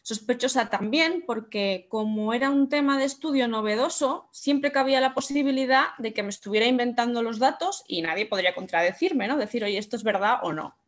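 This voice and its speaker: A woman's voice: fairly high pitch, brisk, few pauses. A young woman giving an online talk from home through her webcam, chatty and full of rhetorical questions. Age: young adult. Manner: conversational, asks rhetorical questions.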